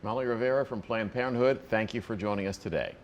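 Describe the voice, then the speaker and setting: A man's voice: on the low side, fast, lo-fi sound, a little hiss or hum. A man with an American accent presenting scripted local television news as a morning anchor.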